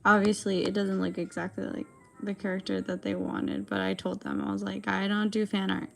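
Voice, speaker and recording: A young woman with a raspy, dry-throated voice, chatting casually and rambling with lots of fillers as she draws. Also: mid-range voice, animated, brisk, a bit bright, soft background music. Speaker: digital artist streamer. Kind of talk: casual chat while drawing.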